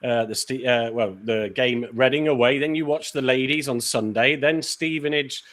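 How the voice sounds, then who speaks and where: A man hosting a football show, talking in a relaxed, chatty way with lots of fillers. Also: mid-range voice, normal speed. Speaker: podcast host. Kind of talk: casual show host chat.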